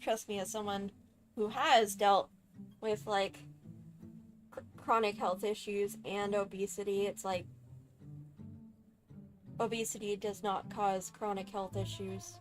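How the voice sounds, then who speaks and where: A woman giving casual let's-play commentary, informal, halting and opinionated. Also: medium pitch, little pitch movement, over music. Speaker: game streamer.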